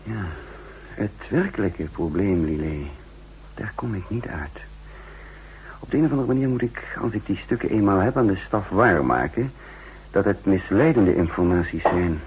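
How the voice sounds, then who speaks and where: A man playing a thoughtful double agent in measured, reflective speech for an old radio play. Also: low-pitched, animated, a little hiss or hum, poor audio. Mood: troubled. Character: thoughtful, troubled male double agent narrating his story. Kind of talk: 1960s Dutch radio play acting and narration.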